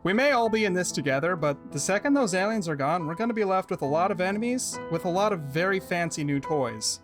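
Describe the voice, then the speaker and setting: A man's voice: high-pitched, lively intonation, a little fast, music in the background. A man playing a breezy, casual sailor in an amateur audio drama. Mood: serious. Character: breezy, teasing male sailor.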